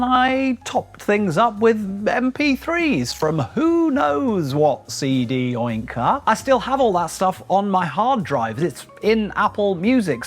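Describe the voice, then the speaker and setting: A middle-aged man narrating a scripted vlog as host, chatty and self-deprecating, with wry asides. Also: high voice. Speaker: YouTube host.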